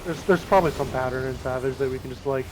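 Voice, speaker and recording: A man's voice: medium pitch, fast, noisy background. A man casually explaining tactics to teammates during an online game. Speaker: gamer in a group raid. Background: video game music.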